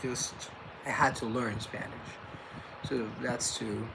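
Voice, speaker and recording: A man's voice: mid-range voice, steady tone, slow pace, creaky, noisy background. A man who grew up in Colombia, chatting casually and playfully as host, joking around. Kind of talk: casual comedic chat.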